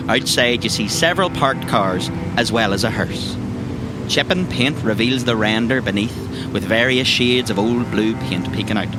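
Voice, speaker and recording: A man with an Irish accent narrating a tabletop roleplaying game as game master, descriptive, with colloquial asides. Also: mid-range voice. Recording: music behind the voice.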